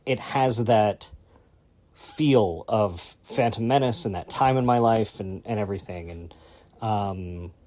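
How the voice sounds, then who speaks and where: Low-voiced man, rambling podcast host. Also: a little mellow. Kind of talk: casual solo podcast introduction.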